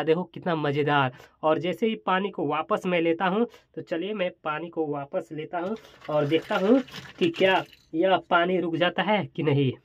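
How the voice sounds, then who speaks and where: A man's voice: on the high side, dry recording. Male product-showcase host, chatty, exclamatory and repetitive, casual demo style, close dry sound with lo-fi dullness. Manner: exclamatory, chatty, repeats phrases while demonstrating. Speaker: toy and gadget review host.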